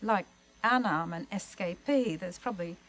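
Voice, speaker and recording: A woman speaking as a panellist, giving a prepared literary talk in an articulate, formal way with measured phrasing. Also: on the low side, fairly bright tone, slight background noise. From migrated to Australia, lived in Melbourne.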